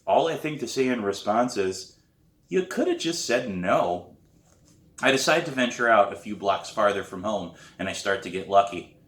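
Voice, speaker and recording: A man, an author, reading his novel aloud in expressive narration. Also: fairly low pitch, brisk, echoey room. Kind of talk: expressive audiobook-style narration.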